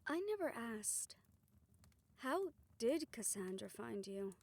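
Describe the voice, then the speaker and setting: A woman performing a scripted audio roleplay, voicing several characters in a soft, hushed way that often drops to a whisper. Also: a little high, animated, slow pace, gravelly.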